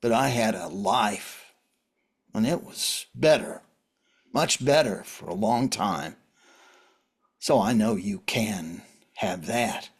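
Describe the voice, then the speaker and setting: An actor playing a grieving, pleading widower and recovering addict in a drama reading, speaking earnestly and haltingly. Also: male voice, lowish voice, animated, husky, heavily acted. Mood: earnest, consoling. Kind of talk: script reading of a stage drama.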